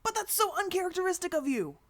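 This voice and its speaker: A woman's voice: extremely high pitch, sing-song, fast talker, pitched above the performer's own voice. Flippant young male magician read by a woman. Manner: flippant, teasing. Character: sarcastic cocky young male magician superhero, jerky.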